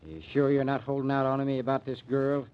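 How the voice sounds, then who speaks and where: An actor playing a blunt, suspicious small-town American sheriff with folksy, ungrammatical speech in an old-time radio drama. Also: male voice, medium pitch, level tone, fairly quick, clean tone, lightly acted. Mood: wary, grudging. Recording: dull, muffled old radio recording.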